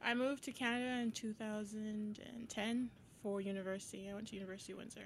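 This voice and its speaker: A woman's voice: medium pitch, level tone, quick pace. A woman, chatting casually as a podcast guest. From Barbados. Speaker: podcast guest, battle rapper. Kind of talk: casual interview chat. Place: podcast studio attached to a bar.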